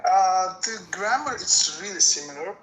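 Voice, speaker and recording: A man, talking haltingly in a casual conversation and often searching for words. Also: sits high, lively intonation, a bit bright, a little hiss or hum. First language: Azerbaijani. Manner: hesitant, many fillers, searching for words. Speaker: guest. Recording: echoey room.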